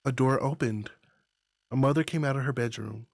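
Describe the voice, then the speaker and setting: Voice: medium pitch, moderate pace. A performer reading scripted visual novel narration aloud into a close microphone. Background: music.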